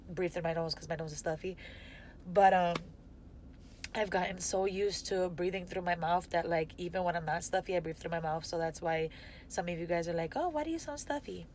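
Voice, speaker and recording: A woman's voice: fairly low pitch, darkish tone, slight background noise. A woman in her twenties with a stuffy, nasal voice, rambling candidly in an unscripted vlog from inside a car, filmed on a phone held at arm's length. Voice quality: congested, nasal. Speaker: vlogger. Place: parked car, indoors. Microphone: phone camera at arm's length.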